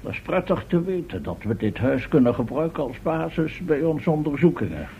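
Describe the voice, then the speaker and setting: Expedition professor: older, formal, gently scolding, lively pitch; vintage radio serial with slight noise. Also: male voice, fairly quick, dull audio. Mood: mildly admonishing. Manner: formal, wordy. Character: genteel, mildly admonishing older professor leading an expedition. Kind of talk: old Dutch radio drama acting. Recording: old radio recording, faint noise.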